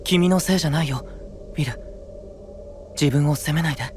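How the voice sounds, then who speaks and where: Man acting gentle young friend, higher voice. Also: fairly quick, a little hiss or hum, close to an everyday voice. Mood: consoling, apologetic. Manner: gentle, reassuring, soft. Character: gentle, caring young male friend of a knight. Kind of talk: Japanese fantasy voice drama acting.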